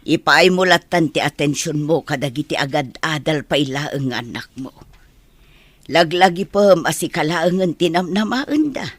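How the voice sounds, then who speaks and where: A woman's voice: deep voice, expressive, unhurried, dry recording. A woman playing a concerned, supportive parent consoling a grown child in an Ilocano radio drama. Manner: earnest, consoling.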